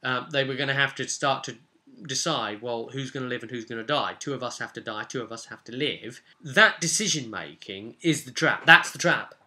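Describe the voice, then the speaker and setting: Bright, forceful man telling stories. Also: mid-range voice, normal speed. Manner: emphatic, repeats phrases for effect. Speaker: game master recounting his tabletop session. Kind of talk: informal explanatory storytelling.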